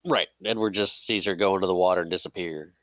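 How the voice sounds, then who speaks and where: A man's voice: low voice, fairly even intonation, fast, a bit bright, no echo. A man co-hosting a podcast in casual chat about a film, often laughing and chiming in with short agreements. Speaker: podcast co-host.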